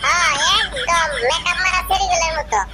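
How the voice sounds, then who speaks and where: A man voicing an aggrieved, sarcastic village fisherman in a comic cartoon, with a rural Bengali dialect and a pitch-raised squeaky voice. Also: brisk, a loud crowd. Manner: complaining, scolding, sarcastic. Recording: loud background noise.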